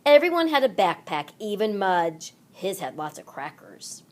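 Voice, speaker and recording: A woman narrating a children's read-along story aloud in an expressive, deliberate manner. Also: medium pitch. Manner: deliberate, animated delivery for children.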